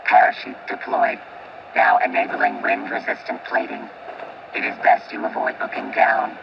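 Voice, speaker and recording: A man playing a formal, unemotional robotic flight engineer and bodyguard in a radio play, with a robot filter on the voice. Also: moderate pace. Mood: calm, reassuring. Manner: formal, precise, unemotional machine-like phrasing. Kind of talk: audio drama acting. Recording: distant, muffled, very noisy with vehicle rumble.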